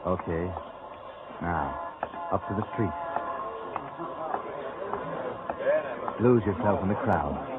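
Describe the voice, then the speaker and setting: A man's voice: low-pitched, measured pace, very noisy. A man playing a sinister, taunting narrator in a 1940s radio suspense drama, speaking insinuatingly and urgently to a fugitive. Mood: urgent, hushed. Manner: insinuating, urgent second-person address. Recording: tinny old radio, loud music behind.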